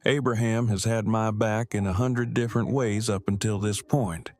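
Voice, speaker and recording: A man's voice: on the low side, fairly even intonation, moderate pace, darkish tone, no room sound, clean studio sound, lightly acted. A man acting an awkward, earnest, bookish character in an audio drama, speaking in stilted, wordy, formal phrases. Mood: matter-of-fact, formal.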